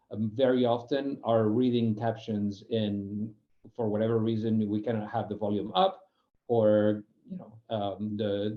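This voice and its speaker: A man's voice: lowish voice, muffled recording. A man from Spain, a non-native English speaker with a Spanish accent, gives an informal explanatory presentation, often restarting phrases and searching for words. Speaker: UX program manager giving a guest talk.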